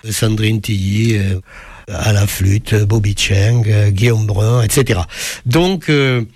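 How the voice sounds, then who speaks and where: A man's voice: fairly low pitch, slow pace, a little mellow, no echo. A man giving an enthusiastic spoken concert review as a music critic, effusive and fond of literary turns of phrase.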